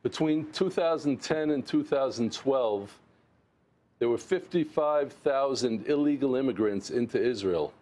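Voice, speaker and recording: A man's voice: mid-range voice, expressive, mellow. A man in his sixties from the United States, a former mayor interviewed remotely on television, speaking earnestly with frequent restarts on a lapel mic. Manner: earnest, halting with many restarts. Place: remote studio.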